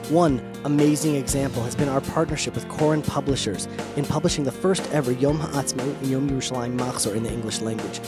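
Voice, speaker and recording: A man reads a polished promotional script in a steady, emphatic announcer style. His voice sits a little high for a man. A clear music bed runs beneath the whole read. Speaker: promotional video narrator.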